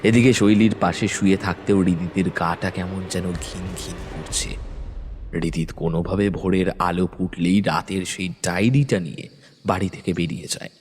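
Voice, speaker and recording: A man reading a village horror story in measured, suspenseful narration. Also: low voice, steady tone, a little mellow, slightly rough.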